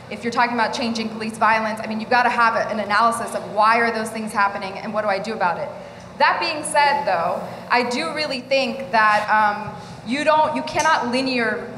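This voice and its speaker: A woman speaking in a group discussion, measured and earnest, building a careful argument. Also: on the high side, a bit bright. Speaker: workshop participant. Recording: echoey hall with faint ambient noise.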